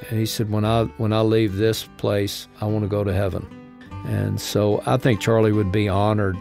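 A man's voice: lowish voice, level tone, normal speed, gravelly. A man, talking reflectively as an interview guest. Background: soft guitar music.

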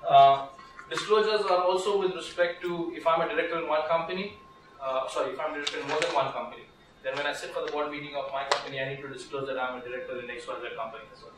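A man with an Indian English accent runs a small legal workshop, talking quickly in a slightly high voice. He keeps restarting his sentences and reaching for fillers. He is picked up from far off in a very echoey room with steady background noise. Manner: conversational, lots of restarts and fillers, gestures while standing. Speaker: workshop speaker, lawyer. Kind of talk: informal legal workshop talk. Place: small seminar room with projector. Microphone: far camera, no mic.

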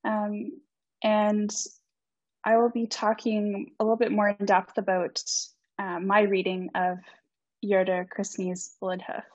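A woman's voice: medium pitch, completely flat delivery, slow pace, a little mellow, no room sound. A young woman in her twenties giving an academic conference talk over a video call, polite and earnest with frequent ums. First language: not Icelandic. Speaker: student presenter. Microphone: computer mic on video call. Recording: dry, slightly dull video-call audio.

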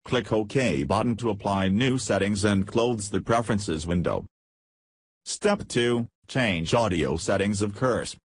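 A man reading a scripted step-by-step software tutorial as a narrator. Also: fairly low pitch, measured pace, husky, no echo.

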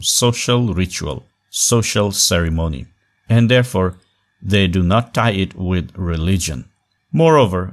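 A man with a very low voice teaches a recorded online class. He speaks in short, measured phrases and stresses key words with pauses. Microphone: voice-over on slides.

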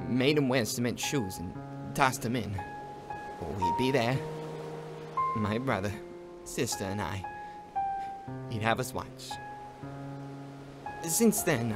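A man voicing a brash, teasing demon gangster with a soft side, slangy and expressive, in a cartoon comic dub. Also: medium pitch. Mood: subdued, confiding. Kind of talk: animated comic dub acting.

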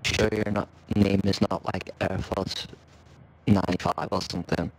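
A man's voice: deep voice, little pitch movement, moderate pace, poor audio. A man making improvised air traffic controller calls in game voice chat, hesitant and subdued. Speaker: fellow player acting as air traffic controller. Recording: game voice chat with faint noise.

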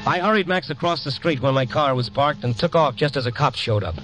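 A man's voice: mid-range voice, fairly quick, lightly acted, low-quality recording. Old-time radio: male actor as American night reporter, hard-boiled, dramatic storyteller, music behind. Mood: brooding narration. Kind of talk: vintage radio drama narration. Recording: vintage radio recording.

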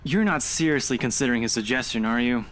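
A medium-pitched young man , voiced by an actor, in a visual novel. He is a blunt, dismissive skeptic who likes to argue, with noisy ambience behind him. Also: fast, a bit bright, lightly acted. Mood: skeptical. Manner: dismissive, argumentative.

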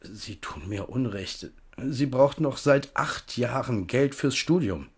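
An actor playing an evasive middle-aged tenant farmer who talks business in a smooth, guarded way in a radio play. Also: male voice, mid-range voice, expressive, takes it slowly, heavily acted. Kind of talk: 1970s radio play acting.

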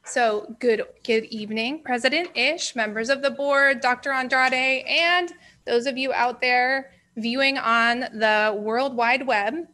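A woman's voice: a little high, measured pace, pushed and bright. A woman, a special education director, giving a formal report at a school board meeting in a strong, projecting voice.

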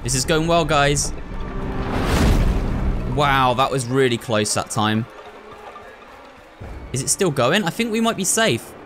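A man's voice: medium pitch, animated, slow, long pauses, over music. A man hosting a video game playthrough, thinking aloud and talking to viewers in a running commentary. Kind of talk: excitable gameplay commentary.

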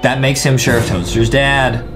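A young American man co-hosting a gaming video with excitable, playful, kid-friendly commentary. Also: mid-range voice, normal speed. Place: small indoor studio, seated beside co-host. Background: game music.

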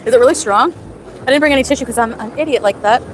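A woman's voice: fairly high pitch, racing, a little hiss or hum. Chatty woman streaming from a night market. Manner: chatty, reads chat names aloud while eating. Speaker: livestreamer. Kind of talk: casual live stream chat. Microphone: phone at arm's length. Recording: outdoor phone stream with loud background music.

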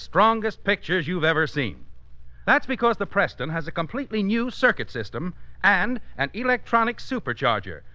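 A man's voice: high voice, sharp tone, no room sound. A man announcing a 1950s radio show from a script with a polished, emphatic salesman's delivery. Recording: muffled 1950s radio recording, music behind.

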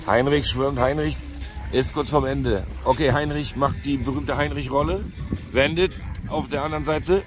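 A man's voice: medium pitch, normal speed, over music. A man bantering casually as a vlogger's companion, teasing and joking near a phone outdoors. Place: outdoors on holiday.

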